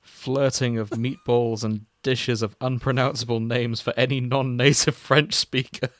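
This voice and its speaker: A man's voice: mid-range voice, fairly even intonation, measured pace, no room sound. A man hosting a comic fan fiction reading, narrating theatrically with amused, casual banter.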